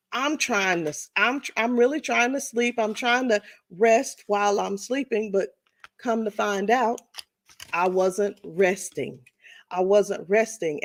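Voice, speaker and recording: Chatty woman in her fifties. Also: mid-range voice. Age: 50s. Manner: chatty, repeats herself, addresses viewers as y'all. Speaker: vlogger. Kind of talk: casual testimonial monologue.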